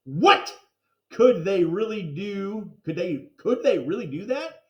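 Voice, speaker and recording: Man voicing a cheeky excitable schoolboy, echoey room. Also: high voice, slow pace, lo-fi sound, pitched above the performer's own voice. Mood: alarmed. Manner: excitable, teasing. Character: cheeky, boastful second-grade boy who hates school. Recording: roomy, echoing sound.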